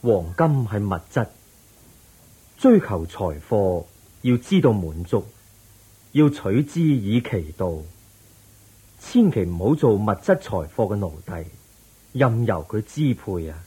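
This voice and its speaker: A gentle, instructive Buddha in a radio drama. His Hong Kong Cantonese is low and unhurried, very husky, with a wide rise and fall. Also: sing-song, exaggerated voice. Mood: solemn teaching. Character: the Buddha, a calm, patient male spiritual teacher.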